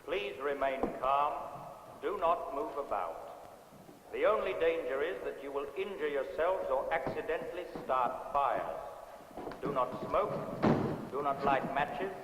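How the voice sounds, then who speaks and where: A man playing a calm official making emergency announcements in a measured, authoritative, deliberate way in an old film. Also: on the high side, natural voice. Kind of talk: 1960s British film acting, loudspeaker announcement. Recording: echoey hall, long reverb, noisy old film.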